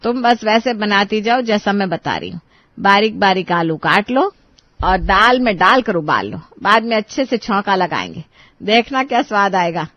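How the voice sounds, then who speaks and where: A woman's voice: mid-range voice, animated, moderate pace, no room sound, highs cut off, lightly acted. A woman playing a middle-aged, bossy yet affectionate mother-in-law in a dramatized story, instructing in a homely, motherly way.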